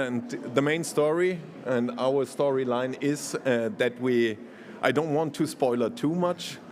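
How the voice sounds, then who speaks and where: A man from Germany giving a conference presentation, halting and full of restarts, laughing easily. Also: mid-range voice. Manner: hesitant, many fillers and restarts, laughs easily. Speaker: conference speaker.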